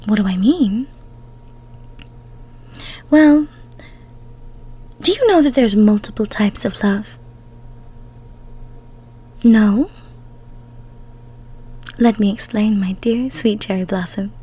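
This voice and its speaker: Girl doing a soft breathy love goddess for roleplay audio, slow n soothing, babies you w pet names. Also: mid-range voice. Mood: tender, advising. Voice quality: breathy, often near a whisper. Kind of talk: intimate scripted roleplay audio, ASMR-like.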